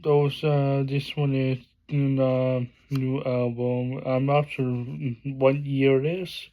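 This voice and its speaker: Slow, flat-voiced man vlogging. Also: mid-range voice, low-quality recording. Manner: halting, many fillers and restarts; plain enthusiastic praise in a flat delivery. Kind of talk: casual unboxing vlog.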